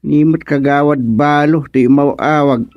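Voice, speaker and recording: A man's voice: fairly high pitch, fairly even intonation, unhurried, no room sound, muffled recording. A man playing a grumbling village chairman in an Ilocano radio comedy, blunt and questioning, with an Ilocano accent. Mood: puzzled. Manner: blunt, questioning, muttering interjections. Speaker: actor in radio comedy drama. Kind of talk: Filipino radio drama comedy acting.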